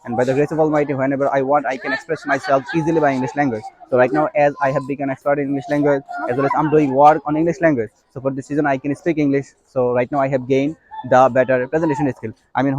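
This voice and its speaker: Young male English teacher, Bangladeshi accent, native Bengali speaker, quick emphatic explaining, dry and slightly muffled recording. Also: medium pitch, a little mellow, no echo. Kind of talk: instructional talk to learners.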